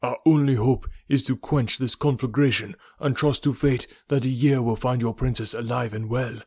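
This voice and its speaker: A man's voice: medium pitch, steady tone, normal speed, darkish tone, husky, dry recording, studio quality, voice pushed up. A man reading aloud voices a grave, loyal warrior ally who speaks formally and solemnly. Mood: urgent. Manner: solemn, formal archaic speech.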